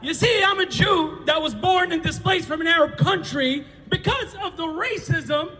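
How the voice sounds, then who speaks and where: A man activist giving a fiery, sarcastic speech at an outdoor rally, often shouting. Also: extremely high pitch, animated, moderate pace, in a large hall, faint music behind.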